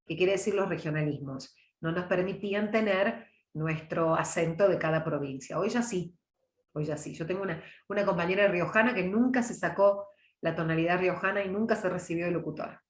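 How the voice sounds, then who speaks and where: A middle-aged woman with a mild Córdoba accent teaches a public speaking class in a relaxed, chatty way. Her voice sits fairly low. It comes over a video call with noticeable room echo. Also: a little fast. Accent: mild Córdoba Argentine. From Córdoba, Argentina. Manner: chatty, warm, answers chat questions by name. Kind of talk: online class, casual lecture.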